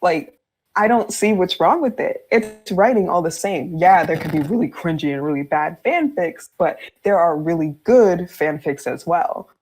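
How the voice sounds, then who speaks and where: A woman with a low-pitched voice chats on a podcast as a guest. She is candid and informal, talking in a conversational way and readily breaking into laughter. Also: expressive, normal speed, a little mellow, soft background music, poor audio. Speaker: fan fiction writer, podcast guest.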